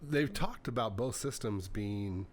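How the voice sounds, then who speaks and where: A man's voice: fairly low pitch, measured pace. A man co-hosting a podcast, telling stories and news in a conversational way, acting out bits of quoted dialogue. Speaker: podcast co-host. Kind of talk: casual banter and storytelling.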